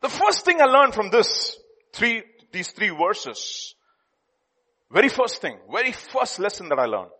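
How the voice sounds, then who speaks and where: A man preaching a sermon, emphatic, jumping between loud declarations and hushed asides. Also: high voice, big pitch swings, a little slow. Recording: long hall reverb.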